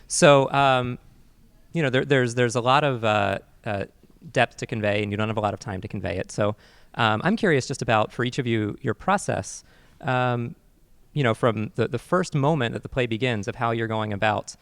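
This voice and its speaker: A man's voice: medium pitch, moderate pace, fairly bright tone, smooth, no room sound. A man with an American accent hosting an interview, phrasing his questions in a hesitant, roundabout way. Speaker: host interviewer. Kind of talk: local TV interview.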